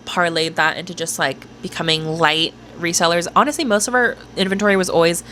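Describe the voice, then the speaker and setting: A woman's voice: on the low side, fairly even intonation, brisk, pushed and bright, slight background noise. A young woman vlogging casually to camera, chatty with lots of filler words and casual swearing, on a small clip-on mic. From Orange County, California. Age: young adult. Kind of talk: casual talking-to-camera vlog.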